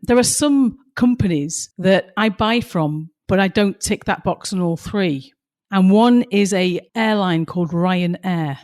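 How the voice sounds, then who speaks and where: A woman's voice: mid-range voice, unhurried, a little mellow. Passionate woman, slightly strained voice. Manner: passionate, emphatic storytelling. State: slightly strained, losing her voice. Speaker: podcast co-host. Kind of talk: casual podcast chat with stories.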